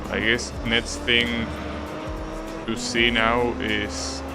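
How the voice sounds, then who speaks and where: A man with an Argentine accent, casually commenting on his racing game to a live-stream chat in a relaxed, musing way. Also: medium pitch, drawn-out words, creaky, loud music behind the voice.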